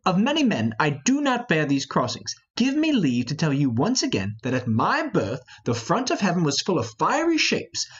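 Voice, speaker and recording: A man's voice: high voice, husky, highs cut off, exaggerated voice. A man playing a proud, boastful mystic lord in a Shakespearean play reading, declaiming grandly.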